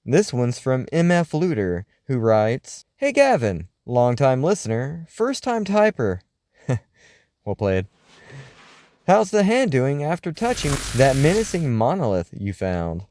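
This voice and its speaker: A man playing a wry, uneasy host of a late-night paranormal radio show, speaking in a scripted, folksy, theatrical way with deliberate pauses. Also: mid-range voice, huge pitch swings, darkish tone, dry recording, studio quality. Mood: wry, amiable.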